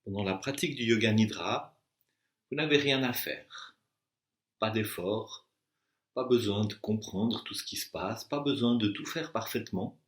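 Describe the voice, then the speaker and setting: Low-voiced man guiding meditation, echoey room. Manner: slow, deliberate instructions with long pauses. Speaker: yoga nidra and meditation teacher. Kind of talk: guided relaxation meditation.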